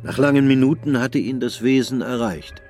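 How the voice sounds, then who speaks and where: A man narrating a radio drama in a measured, sober way. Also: mid-range voice, a little mellow, faint music behind.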